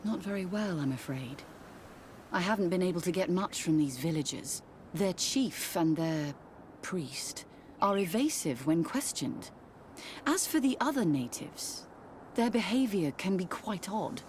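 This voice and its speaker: Low-pitched, uneasy pious nun. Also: female voice, expressive, measured pace, a little mellow, slight background noise. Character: uneasy, pious investigating nun, adult woman. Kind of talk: video game cutscene acting.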